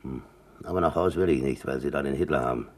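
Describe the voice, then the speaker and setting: An actor in a radio play voicing a gruff, down-to-earth German seaman, terse and colloquial, with dry irritability. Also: a bass voice, fast, a little mellow. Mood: dry, matter-of-fact.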